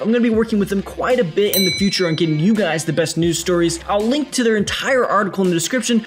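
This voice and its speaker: A young man narrating scripted gaming news as a video host, casual and upbeat, stressing key words. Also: high voice. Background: faint music.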